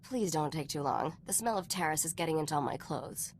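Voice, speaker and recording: A woman's voice: sits low, animated, fairly quick, heavy background noise. A woman voice-acting a cool, knowing smuggler informant in a video game, wry and composed as she tells stories. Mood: wry, teasing.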